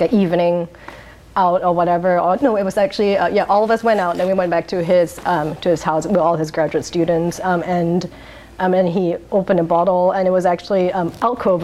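A woman from Singapore, talking through her life in a rambling oral history interview, laughing often and pausing on um's. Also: lowish voice, a little mellow. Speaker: interviewee, wine bar owner.

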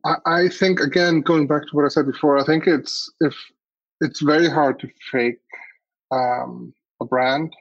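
A man's voice: a little high, steady tone, measured pace, gravelly, no room sound, lo-fi sound. A man from Iceland answering interview questions as a guest, picking his words hesitantly and thoughtfully. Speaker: podcast guest, agency CEO.

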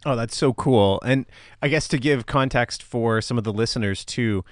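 A man's voice: mid-range voice, normal speed, no room sound, studio quality. A man hosting a casual podcast interview, encouraging and polite, with hesitant phrasing. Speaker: podcast host.

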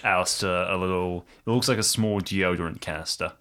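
A man's voice: deep voice, fairly quick, a bit bright, slight background noise. A man playing in an improvised audio drama, casually narrating and voicing his own character.

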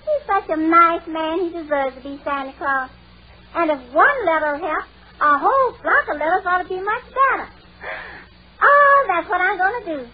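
A woman's voice: very high-pitched, expressive, moderate pace, dull audio. An actress playing a cheerful, dim-witted young woman neighbor in a vintage radio sitcom. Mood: cheerfully dim. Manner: dim-witted, eager, oblivious. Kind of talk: 1940s-50s radio sitcom acting. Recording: old radio recording, loud audience, heavy noise.

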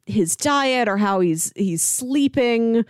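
A woman's voice: a little high, lively intonation, slow, smooth, no echo, clean studio sound. A woman co-hosting a casual recap discussion, retelling scenes conversationally and slipping into quoted dialogue. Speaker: podcast co-host.

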